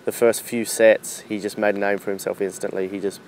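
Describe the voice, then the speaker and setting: A man talking casually about his own project, halting and self-deprecating. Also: on the low side, flat delivery, darkish tone, slight background noise. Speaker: writer presenting own radio project. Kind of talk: casual promotional talk to camera.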